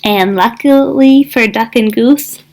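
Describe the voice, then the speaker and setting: Slow, high-voiced woman reading a storybook. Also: darkish tone, slight background noise. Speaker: school counselor reading aloud. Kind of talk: children's storybook narration.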